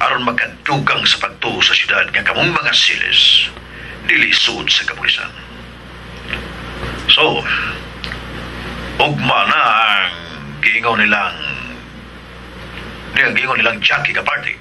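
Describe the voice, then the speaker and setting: Deferential mid-pitched actor as henchman, noisy background. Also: measured pace, pauses often, close to an everyday voice. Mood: respectful, explaining. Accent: Filipino. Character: deferential subordinate reporting to his boss. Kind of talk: Filipino radio action drama acting. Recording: noisy ambience behind radio drama voice.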